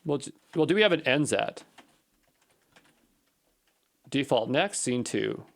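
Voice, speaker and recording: A man's voice: medium pitch, animated, takes it slowly, gravelly. A man giving an unscripted coding walkthrough as an instructor, thinking aloud in a tentative, musing way and questioning himself. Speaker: programming instructor.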